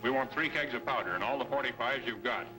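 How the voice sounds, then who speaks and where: An actor playing a bold Texan fighter in an old-time western serial, firm and earnest. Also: male voice, medium pitch, quick pace, close to an everyday voice, poor audio. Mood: resolute. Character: steadfast, bold male Texan fighter and cowboy hero. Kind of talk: 1930s-40s western serial acting. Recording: vintage radio recording with music.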